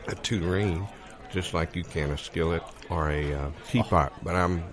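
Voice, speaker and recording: Man, very deep voice, improvised comedy banter, deadpan and rambling as he lays out advice. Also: moderate pace, a crowd in the background.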